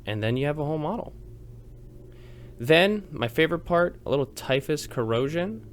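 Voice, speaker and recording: A man's voice: on the high side, animated, moderate pace. A man narrating a step-by-step tutorial in an informal, chatty, explanatory way. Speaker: host of a miniature painting tutorial.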